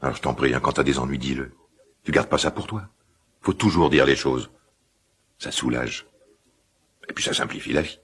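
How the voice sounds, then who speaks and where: An actor playing a gruff, quick-tempered but caring middle-aged father in a radio drama, with halting, repetitive, colloquial speech. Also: fairly bright tone, full character voice. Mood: earnest, affectionate. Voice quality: deep, gravelly, husky. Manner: halting, repeats himself, blustering colloquial speech. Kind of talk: naturalistic French radio drama acting.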